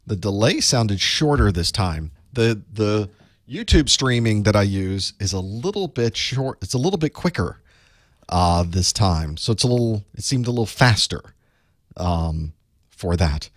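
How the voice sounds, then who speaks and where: Raspy man chatting on a live stream. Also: fairly low pitch, expressive, a little slow, dry recording, clean studio sound. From United States. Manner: chatty, rambling, self-deprecating asides. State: chest cold, a bit raspy. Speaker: online course instructor hosting live study group. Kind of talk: casual live-stream chat.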